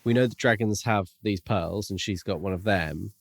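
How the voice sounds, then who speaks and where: Male podcast co-host, fairly low voice, very dry recording, thinks aloud in a relaxed book conversation. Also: normal speed, gravelly, no room sound. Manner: speculative, musing. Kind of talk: casual book discussion.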